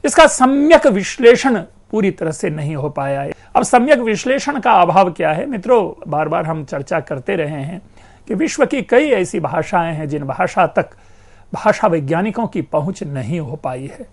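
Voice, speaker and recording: A man with a notably high voice delivers a university-style lecture on language. He explains patiently, calls his listeners friends and leans hard on key words to make a point. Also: big pitch swings, moderate pace, creaky. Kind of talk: educational lecture.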